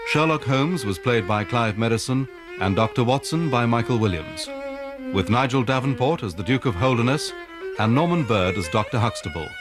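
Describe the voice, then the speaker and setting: A man's voice: mid-range voice, expressive, normal speed. Male announcer over violin music. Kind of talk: scripted closing credits.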